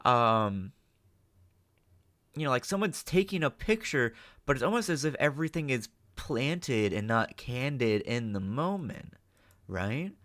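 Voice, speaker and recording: A man reacts and comments casually, working out his thoughts as he goes. His voice sits at a middle pitch, and his delivery is tentative and halting. The sound is dry and close, with very little room in it. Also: big pitch swings, fairly bright tone, no room sound. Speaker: host of a reaction channel.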